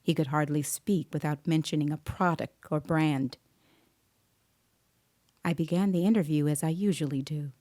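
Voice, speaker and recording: A woman playing a composed, observant child interviewer, narrating an audio drama in a measured, deliberate way. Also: sits low, steady tone, dark tone, close to an everyday voice. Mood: gentle, professional. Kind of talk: scripted dramatic first-person narration. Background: musical scoring.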